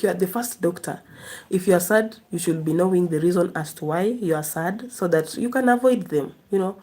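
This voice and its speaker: A chatty woman hosts a relaxed live stream, talking straight to her viewers and laughing often. Her voice sits a little low and sounds mellow and warm. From Kenya. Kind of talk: casual live chat reading viewer comments.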